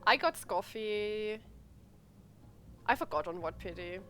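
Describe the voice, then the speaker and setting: Expressive, animated woman streaming a game. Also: medium pitch, slow pace, a little hiss or hum. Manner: expressive, chatty, playful. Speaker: game livestreamer. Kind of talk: casual live gameplay commentary, chatting with viewers. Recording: game music behind voice. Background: video game music and dialogue.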